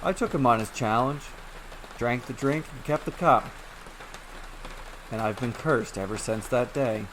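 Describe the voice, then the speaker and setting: A man reading as a calm, low-voiced wanderer. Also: measured pace, long pauses, background noise, close to an everyday voice. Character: calm weary male traveller, cursed wanderer.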